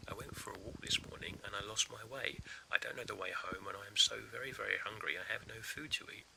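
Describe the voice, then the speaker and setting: A man voicing a lost, hungry old man from a storybook in a soft whisper. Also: fairly low pitch, quick pace, gravelly, noisy background. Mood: plaintive.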